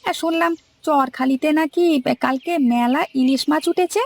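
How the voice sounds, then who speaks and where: A woman voicing an eager, deferential male boatman's helper in a cartoon, creaky, with a questioning, folksy manner. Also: high voice, normal speed. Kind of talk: cartoon story dialogue.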